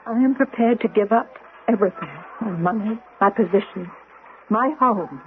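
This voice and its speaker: A woman's voice: mid-range voice, moderate pace, natural voice. An actress playing an impassioned, urgent Roman noblewoman in a breathless, theatrical 1970s radio drama style. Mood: pleading. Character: high-born Roman woman, impassioned, urgent, pleading. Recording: tinny, noisy 1970s radio recording.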